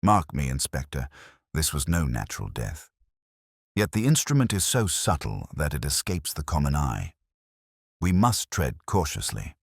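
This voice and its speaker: A man voicing a keen, commanding gentleman detective in an audiobook, grave and intense, with formal, deliberate phrasing. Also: a bass voice, big pitch swings, creaky, husky, dry recording, clean studio sound, full character voice. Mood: grave, decisive. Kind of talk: audiobook character dialogue.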